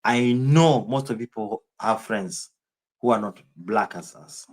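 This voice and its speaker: A man's voice: on the low side, slow pace. A man with a Kenyan accent streaming live, rambling in casual commentary and answering viewers' chat comments by name.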